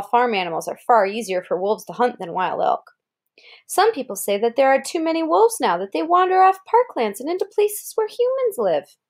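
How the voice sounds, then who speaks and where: Woman reading aloud, slightly high voice. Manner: explanatory, pausing to gloss words and point out pictures. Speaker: reader presenting an article aloud to a child. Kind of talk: read-aloud narration with casual asides.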